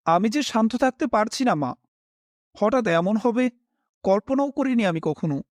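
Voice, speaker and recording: Man acting a high-voiced, expressive, worried family man. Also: unhurried, no room sound, studio quality. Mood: despairing. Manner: dramatic, emotive. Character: worried, dutiful family man narrating his own misfortune. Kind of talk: first-person horror story narration with acted dialogue.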